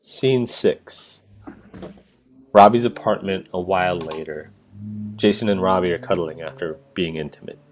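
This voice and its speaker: Low-voiced man reading stage directions. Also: measured pace, a little hiss or hum, rough recording. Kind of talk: scripted narration, staged reading.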